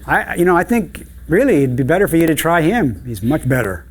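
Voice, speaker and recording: A man's voice: fairly high pitch, big pitch swings, a little fast, pitched above the performer's own voice. A man voicing a timid little billy goat in a told folk tale, hesitant, polite and pleading. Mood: pleading, persuasive. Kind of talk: storytelling.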